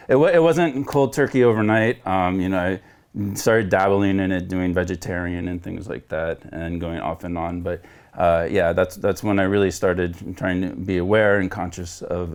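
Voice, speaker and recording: A man's voice: sits low, darkish tone. A man in his forties from Florida, sharing his personal story as a coaching client over a video call, earnest and reflective, rambling with restarts. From Florida, United States. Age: 40s. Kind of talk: candid personal testimonial.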